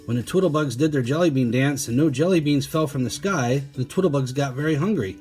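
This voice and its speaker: A man voicing a pompous, excitable male detective from a children's storybook, grandly sure of himself and full of exclamations. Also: mid-range voice, fairly quick, soft background music, lightly acted. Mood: excited. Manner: grandiose, exclamatory, self-assured.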